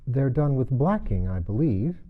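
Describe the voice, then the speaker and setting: A man's voice: medium pitch, big pitch swings, a little slow, phone-quality sound. A man voicing a polite, curious, slightly timid young girl in a storybook. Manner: polite, wondering, a little timid. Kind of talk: storybook character voice.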